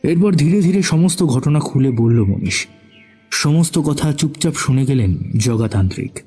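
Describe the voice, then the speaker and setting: A man's voice: on the high side, animated, normal speed, warm tone, slightly rough. A man giving dramatic scripted narration of a horror story. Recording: background music under the voice.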